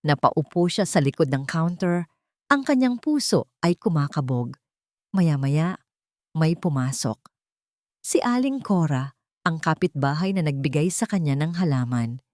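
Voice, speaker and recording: Woman reading out a drama story, kinda low voice, dry sound no room. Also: lively intonation, unhurried, a little mellow, no room sound. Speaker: narrator. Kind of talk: scripted dramatic story narration.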